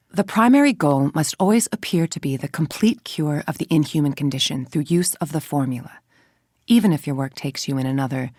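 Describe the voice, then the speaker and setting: A woman's voice: sits low, a little mellow, no room sound. Haughty evil lady scientist for a video game, controlled and menacing, really dry close sound. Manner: controlled, precise, condescending. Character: cold, menacing woman scientist villain, self-righteous and haughty. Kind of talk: video game voice acting, scripted dialogue and briefings.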